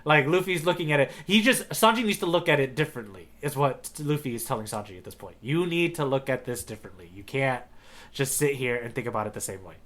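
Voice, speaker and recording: A man co-hosting a podcast in casual, slangy chat, with an excitable manner. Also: mid-range voice, quick pace. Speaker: podcast co-host.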